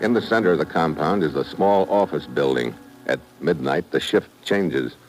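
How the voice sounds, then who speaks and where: An actor playing a tough, wisecracking American secret agent who narrates and acts in clipped slang in an old-time radio thriller. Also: male voice, extremely low pitch, normal speed, a little mellow, soft background music. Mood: terse narration. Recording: noisy old radio drama recording.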